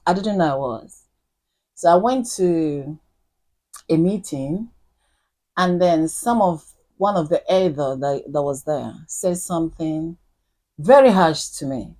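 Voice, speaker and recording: A woman's voice: deep voice, expressive, takes it slowly, long pauses, warm tone. A woman guest in a casual talk, speaking earnestly and acting out her own thoughts as she tells stories. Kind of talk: casual chat.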